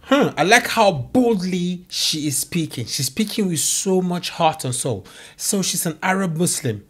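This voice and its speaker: A woman with a deep, husky voice reacts to a clip as host of her own channel. She talks emphatically and repeats key phrases to drive them home. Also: expressive, a little slow. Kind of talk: reaction commentary.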